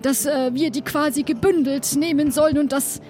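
A woman playing an excitable, flustered female pirate crew member in an improvised audio play, talking theatrically with halting exclamations. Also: sits high, natural voice. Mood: excited, chatty. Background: background music.

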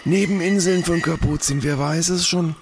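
A man's voice: fairly high pitch, moderate pace, close to an everyday voice. A man playing a level-headed pirate companion in an improvised audio drama, speaking matter-of-factly. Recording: clearly noisy background.

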